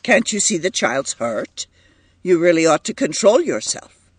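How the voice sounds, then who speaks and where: A woman narrator voices a stern husband in a storybook quarrel. He is sharp, clipped and reproachful, with a husky edge and a close, dry sound. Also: lowish voice, sing-song, measured pace, no room sound, heavily acted.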